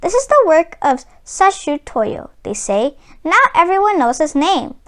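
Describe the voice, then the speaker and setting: Woman, high voice, lively swooping intonation, dramatic children's read-aloud, drawn-out emphasis.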